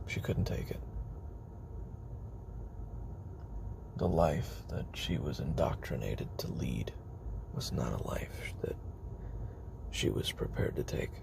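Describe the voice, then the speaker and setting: A man playing a haunted, weary former child assassin in an audio roleplay, speaking a halting, brooding confessional monologue in a gravelly voice that often sinks toward a whisper. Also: very deep voice, heavily acted. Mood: bitter, grieving. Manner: halting, brooding, with trailing pauses. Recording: noisy homemade recording with background ambience.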